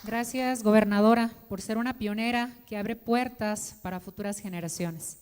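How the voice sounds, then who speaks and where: A woman's voice: mid-range voice, steady tone, moderate pace, a little mellow, a faint crowd, a little hiss or hum. A woman moderating a panel, presenting formally to introduce speakers. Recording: reverberant hall sound.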